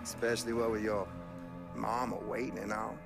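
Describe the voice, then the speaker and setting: A man's voice: on the low side, little pitch movement, fairly quick, gravelly. A man playing a smug, menacing Southern US sheriff in a video game, drawling with false friendliness. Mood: mock friendly menace. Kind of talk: video game cutscene acting. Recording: music behind the voice.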